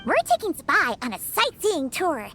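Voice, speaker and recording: A woman voicing a small, childlike, excitable female fairy companion in a video game, chatty and exclamatory. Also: high voice, moderate pace, faint music behind, exaggerated voice. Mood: cheerful, chatty. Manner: exclamatory, chatty, refers to self in third person.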